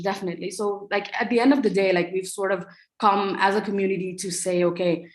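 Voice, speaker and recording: Low-voiced woman in an echoey room. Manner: casual, many fillers like "like" and "you know". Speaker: panelist. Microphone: video call. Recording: echoey room on a video call.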